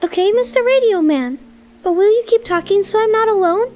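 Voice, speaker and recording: A small, frightened girl with a very high voice. She is childlike, obedient and innocent, a radio drama caller with faint music underneath. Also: animated, fairly quick, darkish tone. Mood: frightened, pleading.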